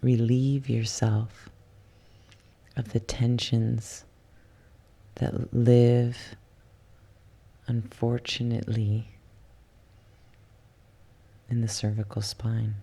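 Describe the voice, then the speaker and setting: A soft, hushed voice guiding a yoga nidra relaxation in ASMR style, soothing and unhurried, slipping at times into a whisper. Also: mid-range voice, little pitch movement, vocal fry. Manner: soothing, unhurried, with long pauses. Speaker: guided relaxation instructor.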